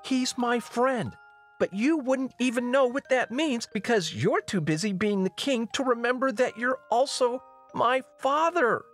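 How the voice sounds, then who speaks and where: A defiant young princess, acted out by a male narrator speaks in a very high voice with big swoops of pitch. She is emotional and indignant, exclaiming her lines as in a storybook tale. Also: animated, a little slow, fairly bright tone, faint music behind, exaggerated voice. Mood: angry, hurt. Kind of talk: storybook-style character voice.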